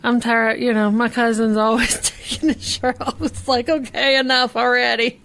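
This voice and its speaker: A woman co-hosting a podcast in casual chat, dry-humored and quick to laugh. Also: on the high side, flat delivery, fairly bright tone. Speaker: podcast co-host.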